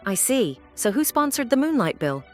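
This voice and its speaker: A woman's voice: a little high, very animated, normal speed. A woman playing a defiant, taunting female bird in an audio play, speaking in a declamatory way. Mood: indignant. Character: defiant, mocking female bird, disillusioned former propagandist. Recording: music behind the voice.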